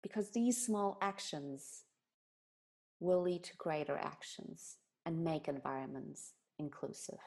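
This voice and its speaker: A woman's voice: low voice, measured pace, gravelly, darkish tone, breathy edge. A woman from Germany giving an earnest, explanatory talk on bias and inclusion. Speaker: speaker on diversity and inclusion.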